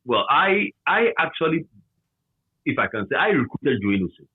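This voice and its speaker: A Ghanaian man reminiscing conversationally as an interviewee, with a husky voice and a habit of repeating phrases for emphasis, over a tinny line. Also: mid-range voice, big pitch swings, moderate pace, no room sound. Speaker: interviewee, former campus radio manager.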